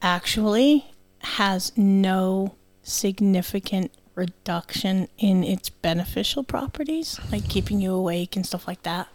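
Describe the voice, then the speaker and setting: A woman's voice: medium pitch, measured pace. A middle-aged woman with a New England accent touched by Southern, co-hosting a podcast in a blunt, sweary, teasing way. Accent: New England, with some Southern. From New England, United States. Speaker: podcast co-host. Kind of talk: casual chat with presented research.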